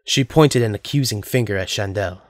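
A man's voice: fairly low pitch, normal speed, no echo. Male story narrator, dry recording.

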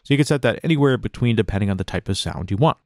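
A man narrating a software tutorial as an instructor, in a casual, conversational, explanatory manner, close to the mic. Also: fairly low pitch, lively intonation, racing, over loud music. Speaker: music production tutorial instructor.

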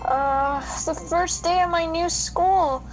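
A woman's voice: very high voice, steady tone, slow, soft background music, low-quality recording. A woman playing a nervous, chatty little cookie girl in a children's radio play.

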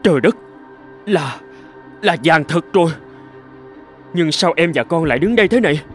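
An eager, gullible young husband from a cartoon fable, played by a male actor. His voice sits fairly high for a man and he speaks with earnest exclamations. Faint music plays behind him. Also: lively intonation, moderate pace, natural voice. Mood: earnest, astonished. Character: eager, gullible husband dreaming of gold, later hardworking. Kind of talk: cartoon voice acting.

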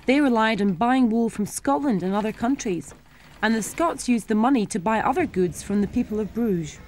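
Young woman, schools TV presenter, clear simple explaining, somewhat high voice. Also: lively intonation, normal speed, darkish tone, slight background noise.

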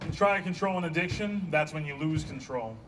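A man's voice: on the high side, brisk, a bit bright, lightly acted. A man playing a sententious dispenser of recovery slogans in a live staged reading of a play. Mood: preachy. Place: outdoor stage against a building wall. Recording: distant outdoor stage, clear background noise.

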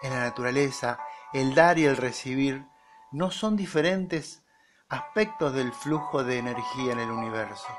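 A man leading a guided meditation, speaking deliberately in short phrases with pauses between them. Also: mid-range voice. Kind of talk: slow guided meditation narration. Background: music behind the voice.

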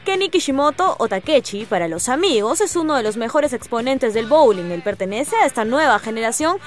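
A woman's voice: a little high, animated, a little fast. A woman with a Peruvian accent narrating a scripted television sports report as its reporter.

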